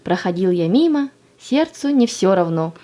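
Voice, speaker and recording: A woman's voice: medium pitch, big pitch swings, moderate pace, warm tone, natural voice. A woman acting a naive, lovestruck Soviet schoolgirl in an audio play, speaking and reciting in a tender, wistful manner. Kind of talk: dramatic monologue and verse recital.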